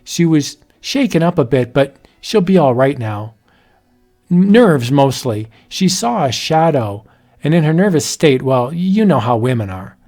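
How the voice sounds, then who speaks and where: Man playing a ship's doctor, condescending, slow, storybook reading. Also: a little high, big pitch swings, a little mellow. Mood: patronizing.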